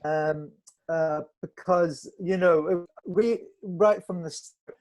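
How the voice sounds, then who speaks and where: Halting man speaking on a panel. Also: high voice, a little mellow. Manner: halting, hesitant phrasing. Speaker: film director, panel guest. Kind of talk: casual panel interview.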